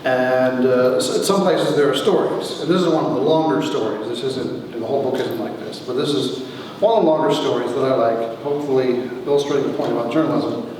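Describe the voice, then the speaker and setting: A middle-aged man giving a wry, theatrical reading of his own comic memoir as its author, with deliberate pauses, recorded in a large echoey hall from a distance. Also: medium pitch, a little mellow. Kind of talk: dramatic comic memoir reading to a live audience. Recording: echoey hall, distant mic, faint noise.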